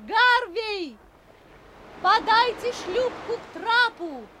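An actress playing a mysterious, resolute young woman of the sea in an audio drama, imperious and deliberate. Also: very high-pitched, lively intonation, pushed and bright, slight background noise, exaggerated voice. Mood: commanding.

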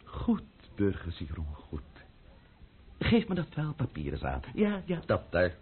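A man's voice: deep voice, huge pitch swings, narrow, tinny audio, slight background noise, heavily acted. A male actor playing a cunning, menacing, mocking man of power in a theatrical radio play. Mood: slow dictation. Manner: sardonic, theatrical, deliberate.

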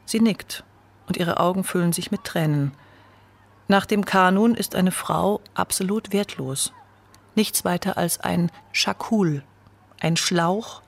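A woman narrating a radio documentary in a calm, measured, scripted way. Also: lowish voice, gravelly.

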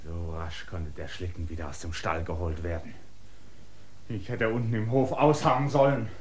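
A man's voice: sits low, steady tone, normal speed, lightly acted. A man playing a restless, defiant land surveyor. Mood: wary. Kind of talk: radio drama acting.

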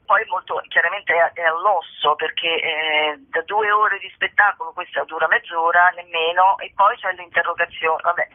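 A woman's voice: fairly low pitch, moderate pace, hardly any pauses. Woman on a tinny phone line, playful. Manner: animated, informal, playful, thinking aloud. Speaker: performer and playwright, interview guest. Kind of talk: casual spoken interview over the phone. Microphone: telephone call. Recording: tinny narrow-band telephone line.

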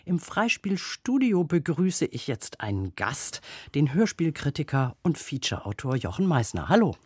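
Male radio presenter for scripted introductions and interview questions, slightly husky, higher-set voice, close studio mic. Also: very animated, moderate pace, no echo. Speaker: radio host. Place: dry broadcast studio. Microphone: close studio microphone.

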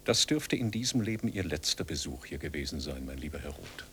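An actor in a German radio drama playing a cold, patronising psychiatrist who speaks with formal authority. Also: male voice, very deep voice, sing-song, fairly quick, pushed and bright, a little hiss or hum, exaggerated voice. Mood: cold, dismissive. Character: cold, condescending male asylum psychiatrist.

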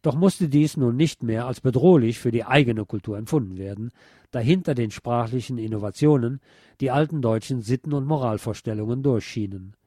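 A man's voice: mid-range voice, a little fast, mellow, no echo, clean studio sound. A man reading a scripted documentary narration as a radio narrator.

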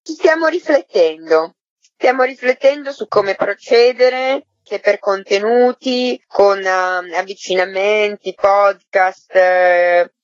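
A woman being interviewed on radio, thinking aloud in a halting, reflective way and often adding tag questions. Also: mid-range voice, no room sound, lo-fi sound. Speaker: interviewed artistic coordinator of a theatre artists' project.

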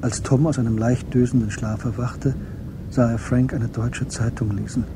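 A man's voice: medium pitch, fairly even intonation, normal speed, music in the background. A man reading scripted literary narration as the narrator of a German radio drama.

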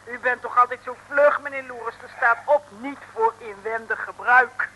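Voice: mid-range voice, steady tone, moderate pace, bright, forceful tone, slight background noise, low-quality recording. A performer in an old radio play playing a chatty, agreeable female sidekick to a detective. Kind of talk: 1960s radio play acting.

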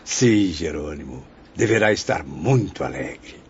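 Actor as husky, consoling spirit brother. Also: male voice, rumbling low, expressive, unhurried, exaggerated voice. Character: kindly, consoling spirit brother, pious and encouraging. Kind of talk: radio soap acting. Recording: dull lo-fi radio drama recording.

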